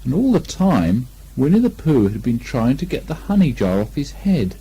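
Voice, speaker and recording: A man narrates a children's story with lots of expression. His voice is mellow and husky, and his intonation swoops widely. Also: medium pitch, very animated, moderate pace. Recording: lo-fi 1980 amateur recording.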